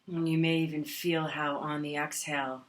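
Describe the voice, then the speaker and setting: A woman teaching a guided meditation in a calm, soothing manner, leaving long pauses between phrases. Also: sits low.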